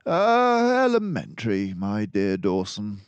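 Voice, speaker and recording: A man's voice: lowish voice, wildly swooping pitch, takes it slowly, clean tone, dry recording, muffled recording, pitched above the performer's own voice, full character voice. A man voicing a self-assured mouse detective from a storybook, formal and precise as he explains. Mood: confident, explaining. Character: confident, precise male mouse detective, lecturing and brisk.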